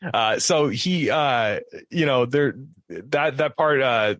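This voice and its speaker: A man's voice: medium pitch, animated, takes it slowly, a bit bright, no echo. A man in casual podcast chat, rambling in slangy, profane language and leaning heavily on "like", close to the microphone.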